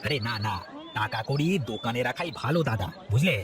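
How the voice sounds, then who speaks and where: A man's voice: mid-range voice, lively intonation, a little fast, over music, crowd noise, natural voice. A man voicing a sly, scheming village thief in a cartoon, theatrical and wheedling. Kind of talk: cartoon voice acting. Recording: clear ambient noise behind voice.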